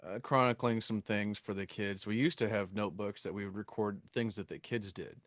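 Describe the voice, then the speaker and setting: Middle-aged man, podcast host, lowish pitch, casual conversational delivery, dry echo-free sound. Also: steady tone, a little fast, fairly bright tone.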